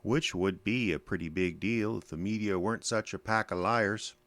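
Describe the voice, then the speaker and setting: An actor in an audio drama playing a stubborn, bitter male ex-soldier with a gruff, folksy, dismissive manner. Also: on the low side, moderate pace, a bit bright, dry recording. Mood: defensive, stubborn.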